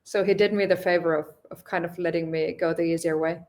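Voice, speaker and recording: A woman from Finland with a lowish voice talks through her travels at an easy pace. She often stops and restarts her sentences as she searches for words. It sounds like relaxed podcast conversation. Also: quick pace. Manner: hesitant, many restarts, thoughtful. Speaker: podcast guest, long-distance cyclist. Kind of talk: casual interview chat.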